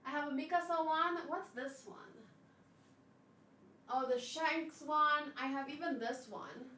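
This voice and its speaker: A woman hosting a casual livestream, thinking aloud and exclaiming as she chats with viewers in an animated way. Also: high voice, unhurried. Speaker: livestream host. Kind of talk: casual livestream chat, reading and answering viewers.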